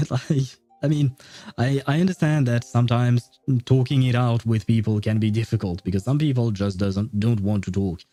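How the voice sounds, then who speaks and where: A man talking casually to his viewers as a game livestreamer, in a halting, chuckly way. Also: mid-range voice, fairly even intonation, dark tone, no room sound. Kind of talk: casual chat with stream viewers. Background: music.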